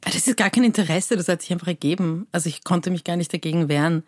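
Low-voiced Persian-born woman, dry sound. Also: fast, no room sound. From Iran. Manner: animated, lively storytelling with many fillers. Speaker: cabaret artist guest. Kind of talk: casual interview chat.

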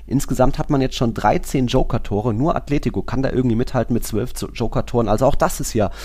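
A man's voice: mid-range voice, fast, a little mellow. A man co-hosting a football podcast, discussing matches and players in an informal, colloquial, casual style.

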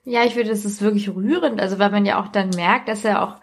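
Female podcast co-host, medium pitch, somewhat raspy tone, informal storytelling chat. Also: steady tone, fast. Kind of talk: casual chat, retelling stories.

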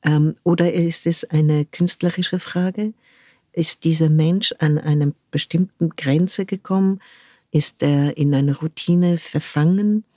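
An older woman speaks in a low, mellow tone, weighing each phrase and stopping now and then to hunt for a word. The sound is close and dry, with no room around it. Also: fairly even intonation, dry recording. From France. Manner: thoughtful, searching for words with frequent pauses. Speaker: guest, cultural manager. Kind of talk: reflective conversational interview.

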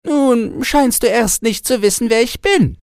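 A man's voice: very high voice, measured pace, gravelly, darkish tone, clear, resonant tone, no echo, studio quality, voice pushed up, exaggerated voice. A man voicing a tiny, uncanny toy manikin in a small, thin voice, courtly, sly and condescending, in a storybook reading. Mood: smug, ingratiating.

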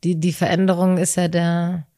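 Female podcast co-host, lower voice, hesitant and thoughtful in casual talk. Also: flat delivery, moderate pace, dark tone. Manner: hesitant, searching for words. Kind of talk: casual conversation about relationships.

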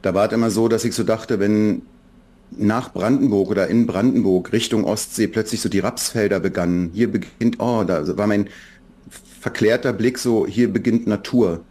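A man from Berlin, a children's book author, talking reflectively in a relaxed podcast interview, with many fillers and restarts. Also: on the low side, moderate pace. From Berlin, Germany.

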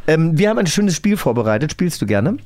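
A man's voice: fairly high pitch, very animated, fast talker, a little mellow. A man hosting a podcast in casual, teasing, bantering chat. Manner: teasing, joking. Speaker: podcast host.

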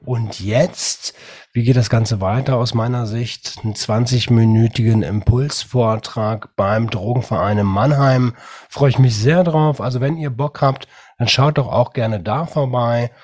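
A man hosting a podcast, talking in an upbeat, animated casual way while he has a cold. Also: medium pitch, a little slow. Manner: upbeat, animated, says "geil" and "Alter". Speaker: podcast host.

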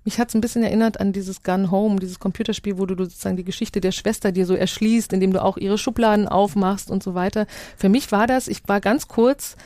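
A woman with a soft, dark-toned voice talks in a casual discussion. She thinks aloud as a radio journalist, reshaping sentences as she goes. The sound is very dry. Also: mid-range voice, steady tone, fairly quick, no room sound. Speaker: radio journalist and panel guest.

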